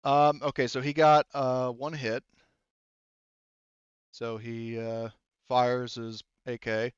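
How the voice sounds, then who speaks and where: A man running a tabletop roleplaying game as game master, narrating casually and haltingly with muttered asides. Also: medium pitch, flat delivery, clean tone, dry recording, dull audio.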